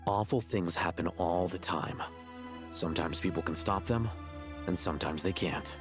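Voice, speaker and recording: A man's voice: deep voice, normal speed, music in the background, close to an everyday voice. An actor playing a composed young male scholar in a game cutscene, dry and matter-of-fact. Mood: calm, dry.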